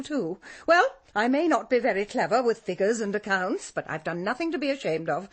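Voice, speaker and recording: A woman's voice: fairly high pitch, husky. An actress playing a proud, bitter village woman who is emotional and defensive in a radio drama. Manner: indignant, emotional, self-justifying.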